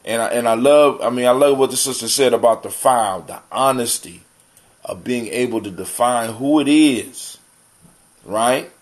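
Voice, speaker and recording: A man's voice: mid-range voice, moderate pace. An American man hosting a live community talk show, chatting casually and in a folksy way to his listeners like family. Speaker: host of a live call-in show.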